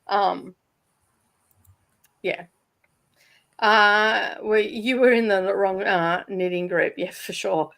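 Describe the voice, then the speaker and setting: A woman's voice: medium pitch, fairly even intonation, slow, gravelly, long pauses, fairly bright tone. A woman with a Michigan accent, hosting a reading livestream and chatting casually with viewers. She laughs often and uses a lot of fillers. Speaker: livestream host.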